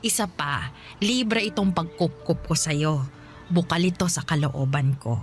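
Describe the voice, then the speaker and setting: A woman playing a kind, motherly elderly widow in a radio drama, with a Filipino accent and gentle, reassuring manner. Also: low voice, expressive, measured pace, faint music behind. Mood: reassuring, compassionate. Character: kind elderly churchgoing widow taking in a youth.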